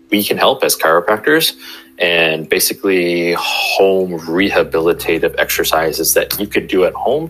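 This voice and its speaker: A young chiropractor talks in a casual interview, walking through everyday examples with many restarts. His voice sits low but sounds bright and forward. Faint music plays softly behind him. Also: rough recording.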